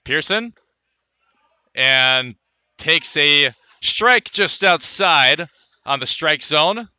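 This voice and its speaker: Bright, punchy male baseball announcer. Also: on the high side, animated, drawn-out words, clean tone, no room sound. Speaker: radio play-by-play baseball announcer. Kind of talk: live sports play-by-play commentary.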